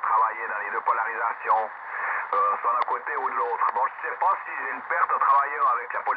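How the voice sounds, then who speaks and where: A man chatting casually as an amateur radio operator, rambling and hesitant, over a shortwave radio link. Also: medium pitch. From Switzerland. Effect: shortwave radio transmission. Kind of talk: casual technical chat over ham radio. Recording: narrow tinny shortwave signal, loud static noise.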